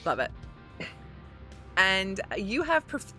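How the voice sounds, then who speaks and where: A woman's voice: mid-range voice, big pitch swings, slow, sharp tone, soft background music. A woman acting as game master on a stream, reading out rules and options with an upbeat, laughing manner. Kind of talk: running a tabletop game over a stream.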